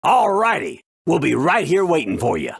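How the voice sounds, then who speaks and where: An actor playing a gruff, cheery, folksy train crew hand in a video game, with a gravelly voice. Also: male voice, on the high side, wildly swooping pitch, normal speed, dry recording, exaggerated voice. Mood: eager, jolly.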